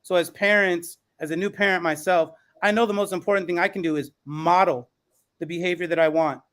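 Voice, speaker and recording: Male podcast host, high-pitched, encouraging and emphatic, with measured phrasing. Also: steady tone, a bit bright. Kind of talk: encouraging moderated discussion.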